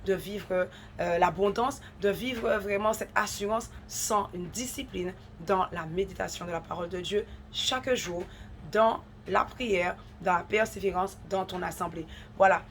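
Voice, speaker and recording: A woman's voice: mid-range voice, measured pace, slight background noise. A woman with a head cold and a runny nose gives an earnest devotional talk, urging listeners on and repeating points for emphasis.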